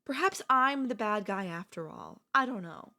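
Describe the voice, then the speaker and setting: A woman playing an obsessive, possessive sister-in-law in an intimate ASMR audio roleplay, coolly confessing her love with deliberate pauses. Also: mid-range voice, animated, creaky, pushed and bright. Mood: coolly confessional. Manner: slow, deliberate, with long pauses.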